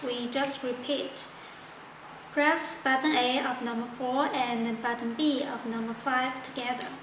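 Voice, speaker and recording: A high-voiced woman demonstrates a product step by step. She speaks slowly in halting, simple non-native phrasing. The recording has clear room echo. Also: fairly even intonation. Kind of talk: step-by-step tutorial narration. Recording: echoey room with loud background noise.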